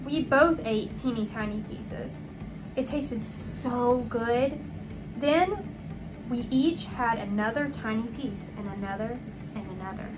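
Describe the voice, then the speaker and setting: High-voiced young woman reading a children's story. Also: slow, noisy background. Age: young adult. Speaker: storybook reader. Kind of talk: expressive children's read-aloud narration. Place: small furnished room indoors. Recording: music behind the voice.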